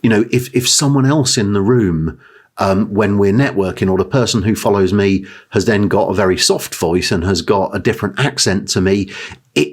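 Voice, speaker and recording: A man hosting an interview with a booming voice, rambling a little and repeating words as he goes. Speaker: podcast host. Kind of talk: casual interview chat.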